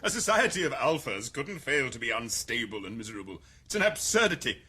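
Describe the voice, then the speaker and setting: Wry, husky British controller. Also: male voice, mid-range voice, very animated, bright, forceful tone, full character voice. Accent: British English. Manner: measured, wry, condescending. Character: urbane, powerful male world controller, amused. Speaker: world controller. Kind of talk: 1990s radio drama.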